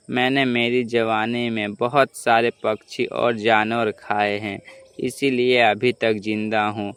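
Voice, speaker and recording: A man plays an old crow from a children's cartoon, greedy and scheming. He talks slowly in a low-key, clean voice, coaxing and preaching with open scorn for the young. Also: clear, resonant tone, close to an everyday voice. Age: older. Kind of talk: Hindi children's cartoon dialogue.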